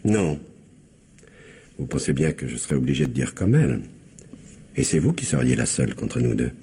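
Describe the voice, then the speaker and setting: An actor playing a scheming, indebted blackmailer with falsely courteous, insinuating manners in a French radio mystery. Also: male voice, a bass voice, big pitch swings, moderate pace, dark tone, slightly rough, exaggerated voice. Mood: veiled threat. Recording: faint background noise.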